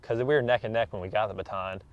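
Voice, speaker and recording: A man's voice: fairly low pitch, fairly even intonation, fast, dull audio, slight background noise. A young American man in his twenties, an athlete giving a casual interview in an earnest, modest way. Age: 20s. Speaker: track athlete being interviewed.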